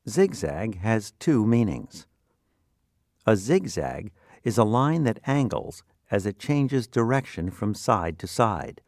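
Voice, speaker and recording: A man reading scripted word definitions and example sentences for learners in a deliberate, instructional manner. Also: fairly low pitch, a little mellow, dry recording, clean studio sound. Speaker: dictionary narrator.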